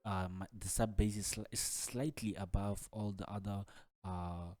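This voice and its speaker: A man's voice: lowish voice, fairly even intonation, unhurried. A man giving an informal music production tutorial, explaining casually and loosely, repeating himself and peppering his talk with "like" and "man". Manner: casual, repetitive filler-heavy explaining with frequent "like" and "man". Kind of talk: informal software tutorial walkthrough.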